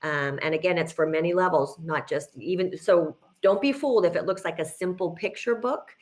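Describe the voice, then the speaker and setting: Woman, middle-aged, slightly low voice. Guest educator in an informal Q&A, encouraging, explains with emphasis. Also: brisk. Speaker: guest speaker, kindergarten teacher. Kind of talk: informal educational talk, answering questions.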